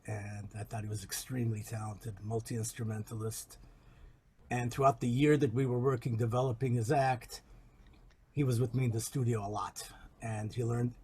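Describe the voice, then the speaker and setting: A record producer in his fifties telling his background from his garage studio in a measured, reflective way. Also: mid-range voice, a little mellow, slightly rough. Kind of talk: self-introduction on a call.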